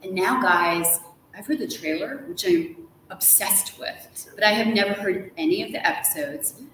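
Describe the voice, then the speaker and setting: A middle-aged American woman, an author being interviewed, chats casually and tells stories in an animated, playful way. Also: medium pitch, moderate pace. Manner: animated storytelling, quoting people with playful asides. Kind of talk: lively casual conversation. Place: large hotel sitting room, live stream. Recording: echoey room from a distance, noisy.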